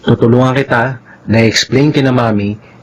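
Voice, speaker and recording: A man playing a caring, protective young Filipino brother and respectful son in a radio drama, earnest and gentle. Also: mid-range voice, fairly even intonation, moderate pace, lightly acted. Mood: concerned, apologetic. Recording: dull lo-fi radio drama track.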